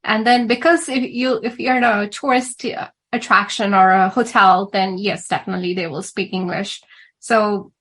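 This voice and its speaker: A woman from India, a travel and food writer answering interview questions in a measured, explanatory way. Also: medium pitch, fairly even intonation, a bit bright. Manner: measured and explanatory, with some fillers.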